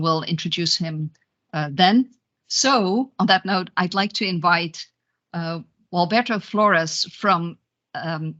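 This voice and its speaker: A woman's voice: on the low side, fairly bright tone. A woman hosting a webinar, introducing the session and its presenters over a video call. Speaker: webinar host. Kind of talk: welcoming event introduction, semi-scripted. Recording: dull, lo-fi video call audio.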